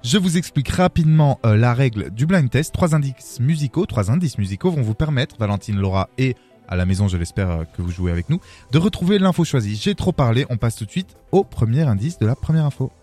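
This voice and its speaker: A man's voice: medium pitch, animated, brisk, mellow. Male radio host, playful banter, studio mic, faint music behind. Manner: playful, teasing, laughs often. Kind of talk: jokey live radio banter. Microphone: studio microphone.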